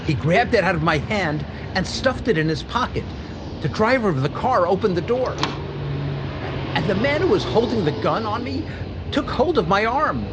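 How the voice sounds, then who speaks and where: An actor playing a shaken factory owner in a 1940s-style radio drama, talking in a hesitant, stammering way. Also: male voice, high-pitched, very animated, normal speed, background noise. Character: shaken middle-aged male factory owner robbed of payroll.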